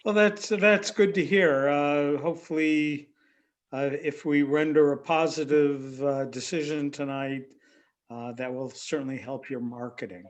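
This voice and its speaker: A man's voice: a little high, steady tone, measured pace. A man chairing a remote public board meeting, brief and procedural.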